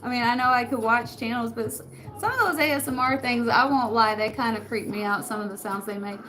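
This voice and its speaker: A soft-spoken woman with a rural Western American accent, chatting casually with her viewers and laughing often. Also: a little high, fairly quick, poor audio. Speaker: channel host. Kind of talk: casual live chat answering comments. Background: background music.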